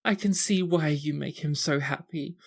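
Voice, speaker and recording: A man's voice: on the high side, moderate pace, creaky, pitched above the performer's own voice. A man voicing a tipsy teenage noble girl in a tabletop game, effusive, tender and formally polite. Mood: touched, happy.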